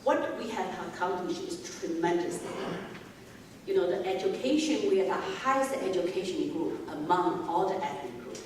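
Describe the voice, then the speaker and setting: Middle-aged woman, conference speaker. Earnest, repeats phrases for emphasis. Low-set voice, recorded distant in a reverberant hall. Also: expressive, moderate pace, noisy background. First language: Chinese. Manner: earnest, builds to rhetorical questions with repeated phrases. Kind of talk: public speech. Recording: echoey hall from far off.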